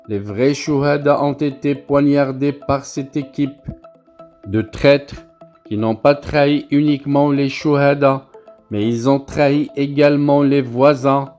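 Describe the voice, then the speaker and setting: A man delivers scripted political news commentary as a narrator, with deliberate, emphatic phrasing. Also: mid-range voice, darkish tone.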